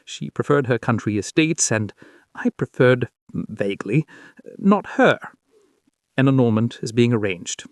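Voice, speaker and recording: A man's voice: mid-range voice, expressive, measured pace, no echo, studio quality. A man voicing a fierce, anguished king in love in a dramatic story, impassioned and pleading. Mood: resolute, commanding.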